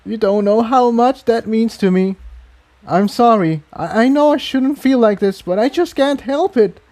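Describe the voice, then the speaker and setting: A man voicing an anxious, apologetic female pony rebel in a storybook reading, speaking in a halting, earnest way. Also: very high-pitched, a little mellow, voice pushed up. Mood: tearful, guilty.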